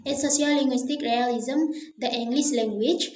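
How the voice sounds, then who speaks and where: A woman's voice: high-pitched, steady tone, moderate pace, darkish tone. A young woman reading her class presentation aloud from notes, slipping into now and then, recorded on a phone indoors. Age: young adult. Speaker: student presenter. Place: small indoor room. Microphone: phone camera at close range. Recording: echoey room, faint noise.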